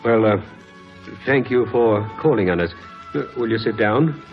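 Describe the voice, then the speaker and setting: An actor playing a courteous, devoted gentleman of the manor in a 1940s radio drama, polite and expressive. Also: on the low side, a little slow. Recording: dull old radio broadcast, faint music.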